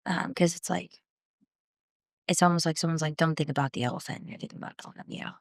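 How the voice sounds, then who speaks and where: A woman's voice: low voice, fairly even intonation, fast, gravelly, no echo, studio quality. A woman in her twenties from Southern California, speaking as a guest on a casual podcast interview, earnest and reflective, often trailing off and restarting. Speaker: guest musician.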